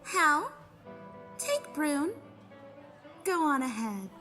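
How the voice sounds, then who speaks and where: A woman's voice: high voice, wildly swooping pitch, very slow, pushed and bright, soft background music, full character voice. A woman playing an earnest, fussy young team member in an audio drama. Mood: firm.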